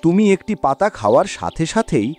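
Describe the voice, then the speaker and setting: A man's voice: fairly high pitch, very animated, normal speed, darkish tone, soft background music. An actor playing an animal peddler of magic wares in a cartoon, giving a showy salesman's patter. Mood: enticing. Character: showman peddler animal selling magic leaves. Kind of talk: animated moral story dubbing.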